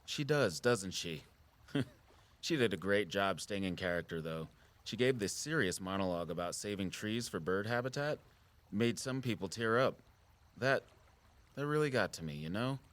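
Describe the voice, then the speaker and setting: Gentle middle-aged dad, conservationist type, lowish voice, thoughtful n considerate, natural audio drama chat. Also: male voice, lively intonation, moderate pace. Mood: tender, sheepish. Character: gentle, sentimental father and dedicated nature conservationist.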